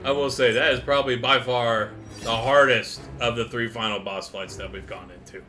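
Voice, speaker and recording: A man in his thirties with a mid-pitched voice commentates casually on a video game. He talks like a streamer at home, and game music plays clearly behind him. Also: steady tone, normal speed, pushed and bright. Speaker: gaming streamer. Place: small home room.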